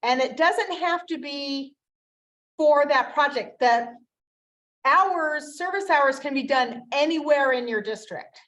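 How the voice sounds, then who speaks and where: A woman with a somewhat high voice, explaining a city process to a meeting in a clear, informative way. She speaks as a staff member laying out the rules. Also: takes it slowly, fairly bright tone. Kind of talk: community meeting discussion.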